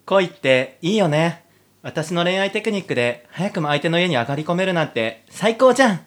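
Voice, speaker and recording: Man playing a gushing, lovestruck magical girl, high-pitched. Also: sing-song, a little fast. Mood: smitten, delighted. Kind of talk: comic amateur radio drama acting.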